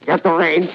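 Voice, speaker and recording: High-voiced gunner, tinny noisy recording. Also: male voice, heavily acted. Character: male artillery gunner calling ranges under smoke. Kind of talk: 1930s radio drama acting.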